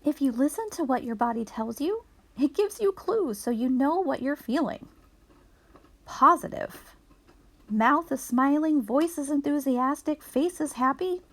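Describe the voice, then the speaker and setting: A woman reading a children's picture book aloud in an expressive storytelling manner for young children. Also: sits high, unhurried.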